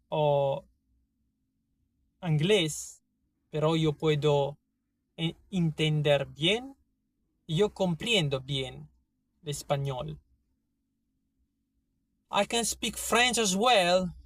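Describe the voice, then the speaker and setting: A man with an Italian accent talking to his viewers in a casual monologue, halting often with fillers and restarts. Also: on the high side, no echo. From Italy. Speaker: vlogger. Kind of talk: casual opinion monologue to camera.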